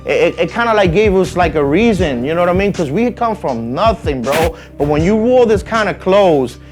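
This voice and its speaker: A man's voice: high-pitched, expressive, brisk, music in the background. A man in his forties from the Dominican Republic, a guest chatting easygoingly in an interview, with frequent "you know what I mean.". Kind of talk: casual interview chat.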